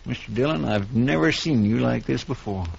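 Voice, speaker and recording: A man's voice: mid-range voice, normal speed, vocal fry, heavy background noise. A man playing an earnest, loyal marshal's helper in a 1950s radio western, deferential, with folksy country speech. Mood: consoling. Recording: muffled old 1950s radio recording.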